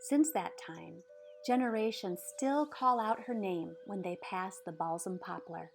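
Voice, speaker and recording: Woman reading a kids storybook out loud, measured n dramatic, medium pitch, bit of music behind. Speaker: storyteller reading a picture book aloud. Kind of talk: expressive scripted storybook narration.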